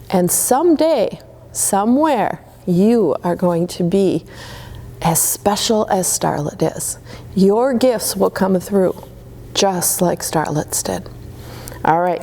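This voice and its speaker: A woman reading Christmas stories aloud to children in a gentle, soothing way. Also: mid-range voice. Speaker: storyteller.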